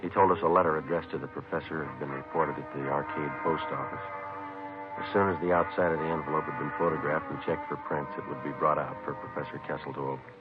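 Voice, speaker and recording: A man's voice: a bass voice, steady tone, quick pace. An actor with an American accent playing a terse police detective, narrating his case in clipped, matter-of-fact 1950s radio style. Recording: tinny vintage radio recording, music.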